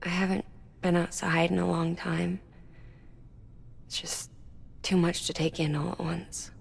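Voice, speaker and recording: Game casting: a frail, gentle woman, lowish pitch, heavy vocal fry, soft measured delivery. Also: level tone, heavily acted. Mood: weary, wistful. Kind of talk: cinematic video game acting.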